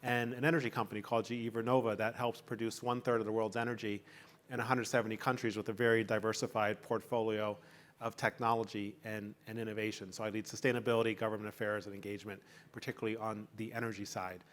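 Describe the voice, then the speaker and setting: A man, a corporate executive, introducing himself on a panel in a brisk, polished, businesslike way. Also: lowish voice, level tone.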